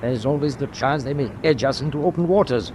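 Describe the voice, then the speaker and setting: An actor playing a resolute, defiant German tanker captain in a radio drama, commanding and decisive. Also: male voice, mid-range voice, fairly quick, a little mellow, a little hiss or hum, close to an everyday voice.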